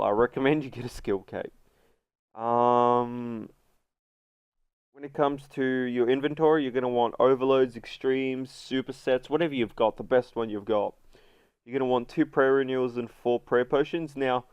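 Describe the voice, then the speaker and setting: A congested man with a runny nose, chatting through a casual game guide voice-over and filling pauses with ums and uhs. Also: mid-range voice, a little mellow, clear, resonant tone, dry recording, muffled recording. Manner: chatty, many fillers. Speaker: gaming video host.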